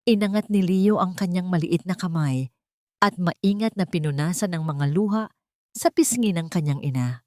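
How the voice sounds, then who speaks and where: A woman narrating a dramatic story in a solemn, emotive way with measured phrasing. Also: on the low side, darkish tone, dry recording, clean studio sound.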